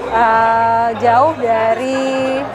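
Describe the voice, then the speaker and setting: A woman's voice: fairly high pitch, flat delivery, extremely slow. Reflective woman over music and crowd. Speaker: documentary maker. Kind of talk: interview statement.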